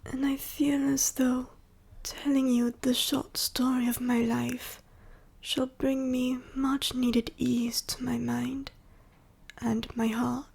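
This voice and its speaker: A woman plays a dying she-dragon, the last of her bloodline, in an ASMR roleplay. Her voice is fairly high but husky and whispery, with a creaky edge. She speaks formally and gently, weak and short of breath, full of sorrow. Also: level tone, heavily acted. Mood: resigned, sorrowful. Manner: slow, formal, archaic phrasing with heavy pauses.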